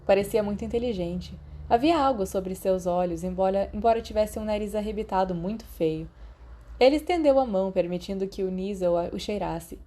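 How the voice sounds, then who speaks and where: A woman's voice: mid-range voice, a little fast, warm tone. A woman with a Brazilian accent reading a story aloud as scripted audiobook narration. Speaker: podfic narrator.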